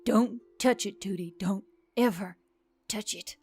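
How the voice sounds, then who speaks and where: A woman voicing a tense, gruff, haunted woman diver in a narrated story, speaking tersely. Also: medium pitch, measured pace, darkish tone, soft background music. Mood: hushed dread. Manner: terse, hissing and growling under stress.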